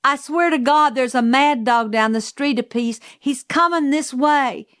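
A woman's voice: fairly high pitch, measured pace, no room sound, studio quality, natural voice. A woman voicing a brusque, commanding Southern housekeeper in an audiobook, with emphatic delivery and a Southern US accent. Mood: urgent, alarmed. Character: forceful, no-nonsense Southern housekeeper woman, exasperated then urgent. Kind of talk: audiobook character voice.

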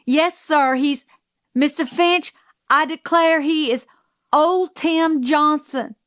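A woman's voice: fairly high pitch, a little slow, dry recording, studio quality, natural voice. A woman voicing a brusque, commanding Southern housekeeper in an audiobook, with emphatic delivery and a Southern US accent. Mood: urgent, alarmed. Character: forceful, no-nonsense Southern housekeeper woman, exasperated then urgent. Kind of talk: audiobook character voice.

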